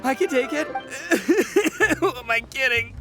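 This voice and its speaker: A man's voice: very high voice, lively intonation, slow, music in the background, full character voice. A man voicing a brash, boastful, goofy young oni gang boss in a video game, with a blustering manner. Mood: panicked, struggling. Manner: blustering, stammering, swaggering. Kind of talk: video game character dialogue.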